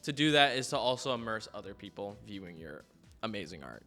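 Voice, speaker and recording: Upbeat guy asking the questions, bright sharp voice, quiet music under it. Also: fairly low pitch, sing-song, fairly quick. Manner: upbeat, affirming, laughs often. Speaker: interviewer. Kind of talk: casual interview.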